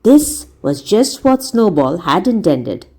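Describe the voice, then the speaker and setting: A woman reading a story aloud as a teacher, in a deliberate, explanatory manner. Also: mid-range voice, big pitch swings, dark tone. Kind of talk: read-aloud narration with word explanations.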